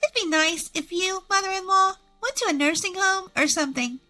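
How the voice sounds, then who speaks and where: A woman's voice: very high voice, brisk, bright, forceful tone, soft background music, pitched above the performer's own voice. A woman voicing a smug, brash young daughter-in-law, greedy and scornful, in a narrated family story. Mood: smug, mocking.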